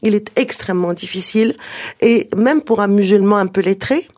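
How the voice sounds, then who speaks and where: A native Arabic speaker of medium pitch giving explanations in an interview, hesitant and digressive, with emphatic points. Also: normal speed, darkish tone. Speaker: interviewed author and researcher on early Islamic texts.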